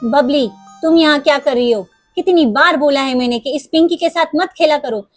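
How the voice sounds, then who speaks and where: Imperious household madam for a cartoon, played by a woman; high-pitched, quick to blame. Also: soft background music, natural voice. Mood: scolding. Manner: imperious, insistent. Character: bossy, accusing wealthy lady of the house.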